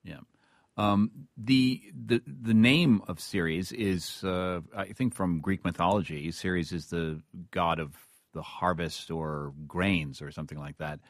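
A man with a low, deep voice hosts a radio interview in a very dry acoustic. He is conversational but deliberate, halting between phrases and leaning on chosen words. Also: no room sound.

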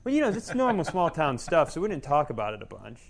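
Male podcast co-host, American, fairly high voice, dry and incredulous, casual banter. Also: huge pitch swings, fast talker, gravelly, over a noisy crowd. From small-town United States.

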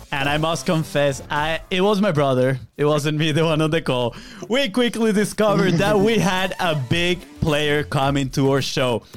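A man co-hosting a podcast, energetic and showy as he talks to the listeners. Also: fairly high pitch. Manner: energetic, showman-like. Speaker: podcast co-host. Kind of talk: casual podcast hosting, hype intros.

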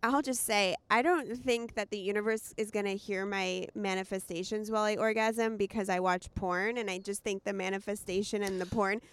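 A woman hosting a podcast outdoors in a shaded backyard, talking things through in a measured, reflective way. Her voice is smooth and resonant. The sound is dry, with no room echo. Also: mid-range voice, steady tone. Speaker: podcast host. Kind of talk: casual podcast chat.

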